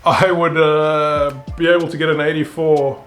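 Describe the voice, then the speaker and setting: A man's voice: on the high side, flat delivery, normal speed, fairly bright tone, soft background music. A South African man in his thirties chatting casually about cars as a host. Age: 30s. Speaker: game show host and car YouTuber.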